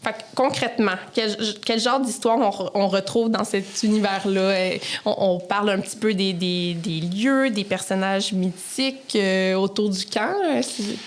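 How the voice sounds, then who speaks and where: A woman's voice: mid-range voice, fairly even intonation, normal speed, hardly any pauses. A woman with a Québécois French accent hosting a casual podcast interview, informal and searching for her words.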